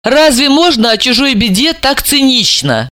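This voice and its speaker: A man's voice: extremely high pitch, big pitch swings, normal speed, bright, forceful tone, no echo, clean studio sound, voice pushed up, exaggerated voice. A man voicing a hot-tempered, stubborn young woman who speaks sharply and indignantly.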